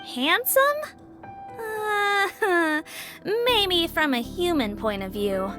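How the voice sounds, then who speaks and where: A high, animated voice plays a prim little investigator in a video game. She explains carefully and helpfully, with a cutesy touch and a lilting melody. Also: extremely slow, over music, heavily acted. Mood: flustered. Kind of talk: scripted video game voice acting.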